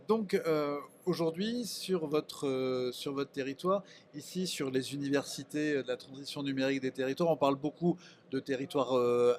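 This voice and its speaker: Male interviewer, mid-pitched, over background noise. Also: darkish tone. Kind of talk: conversational interview questions.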